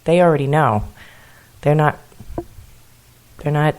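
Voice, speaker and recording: Rhode island lady in her 40s chatting on local radio, kinda low voice, laughs a lot. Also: slow, darkish tone. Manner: laughs often, earnest. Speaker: guest, children's meditation teacher. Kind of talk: casual radio interview.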